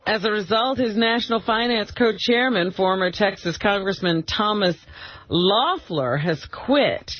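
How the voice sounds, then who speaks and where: A man's voice: high-pitched, measured pace, slight background noise. A man reading the news on a radio show, often breaking into laughter. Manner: breaks into laughter mid-sentence. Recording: dull radio broadcast audio.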